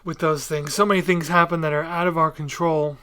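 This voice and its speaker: A man's voice: on the high side, level tone, brisk, bright, forceful tone, clean tone. A man hosting a podcast, asking questions in a casual interview style. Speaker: podcast host.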